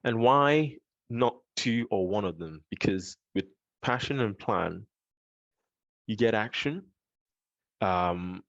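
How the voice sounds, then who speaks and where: Halting low-voiced man giving a talk. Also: expressive, a bit bright, no room sound, highs cut off. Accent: London English. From Nigeria. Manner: halting, many fillers and restarts. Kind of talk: presentation talk with slides.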